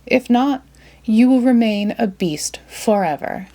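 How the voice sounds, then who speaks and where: A woman voicing a stern enchantress with a solemn, measured manner in a storybook read-aloud. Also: fairly high pitch, expressive, warm tone, voice pushed up, heavily acted. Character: lovely enchantress, stern and solemn, casting a curse.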